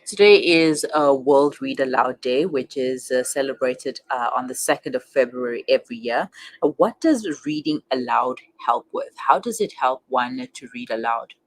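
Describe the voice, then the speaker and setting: An interviewer putting questions to a guest in halting, hesitant phrases. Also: medium pitch, expressive. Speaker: radio interviewer.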